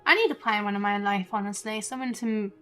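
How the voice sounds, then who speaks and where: A woman's voice: medium pitch, fast talker, sharp tone. A woman doing casual livestream commentary on a video game, chatty and giggly. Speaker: game streamer. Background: game music.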